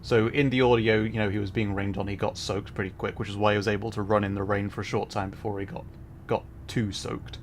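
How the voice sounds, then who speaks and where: A man streaming as a virtual character, giving relaxed, rambling commentary while he plays and answers chat. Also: on the low side, fast talker, a little hiss or hum. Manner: relaxed, rambling, chuckles often. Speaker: VTuber streamer. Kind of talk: casual gameplay commentary.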